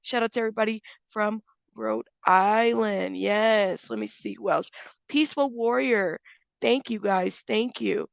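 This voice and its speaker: A woman hosting a casual livestream, chatting with viewers and giving friendly shout-outs while still congested. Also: medium pitch, a little slow, creaky, no room sound. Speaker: livestream host.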